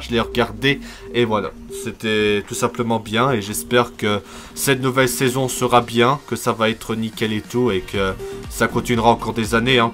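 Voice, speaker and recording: A man giving casual cartoon news commentary as a channel host, rambling and repeating himself. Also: medium pitch. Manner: rambling, repetitive, filler-heavy.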